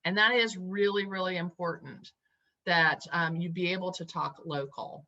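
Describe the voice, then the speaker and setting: A woman hosting a webinar, briskly explaining poll results to attendees. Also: fairly low pitch, steady tone, bright, forceful tone, highs cut off. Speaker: webinar host. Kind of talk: webinar moderation.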